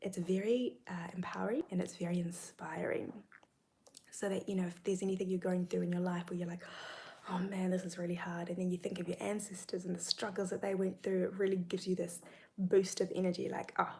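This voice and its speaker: A young woman with a New Zealand accent talking casually on a live stream, soft and often half-whispered, with many ums. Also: on the low side, level tone, fairly quick, vocal fry. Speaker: spiritual content creator.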